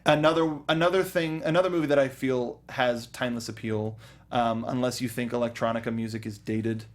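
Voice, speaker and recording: Man, mid-pitched voice, casual commentary, enthusiastic fan, talk show co-host. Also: steady tone, brisk. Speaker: co-host of a film review show.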